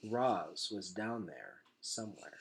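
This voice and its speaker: A man narrating a children's storybook aloud in a dramatic, emphatic way, dropping to a hush at times. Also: on the low side, sing-song, takes it slowly, gravelly, a little hiss or hum. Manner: dramatic, emphatic delivery with hushed drops.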